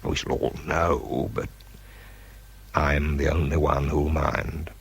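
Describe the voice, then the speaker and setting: A weary, self-doubting British narrator of a radio drama. His voice is extremely low and rough with heavy gravel. He speaks slowly and reflectively, with bitter, halting pauses. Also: steady tone, full character voice. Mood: resigned. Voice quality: gravelly, husky.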